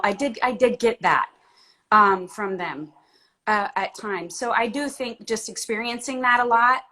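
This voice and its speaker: A woman from Florida answering interview questions in a measured, hesitant way with many pauses. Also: mid-range voice, a bit bright. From Florida, United States. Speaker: guest.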